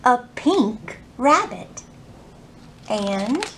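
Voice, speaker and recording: High-pitched woman reading slowly for children. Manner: animated, careful enunciation for young children. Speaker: children's librarian hosting a virtual storytime. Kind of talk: children's storytime reading and teaching. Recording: roomy echo, faint background noise.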